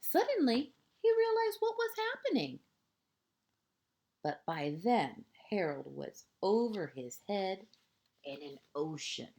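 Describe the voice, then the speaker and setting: A woman reading a children's picture book aloud like a kindergarten teacher, expressive and deliberate, with dramatic pauses and emphasis for young listeners. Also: mid-range voice. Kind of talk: children's storybook read-aloud.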